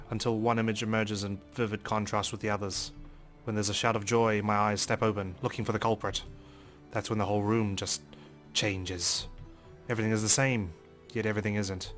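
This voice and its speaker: A man with a low voice hosts a let's play of a visual novel. He reads the story in a measured, dramatic way, with faint music behind him. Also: fairly bright tone. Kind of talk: dramatic read-aloud narration of a visual novel with commentary.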